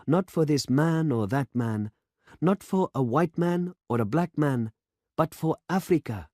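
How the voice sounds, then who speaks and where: A man's voice: a little high, expressive, measured pace, a little mellow, no room sound, studio quality, pitched above the performer's own voice. A man reading aloud and voicing an earnest, impatient young male farming demonstrator in an urgent, insistent manner.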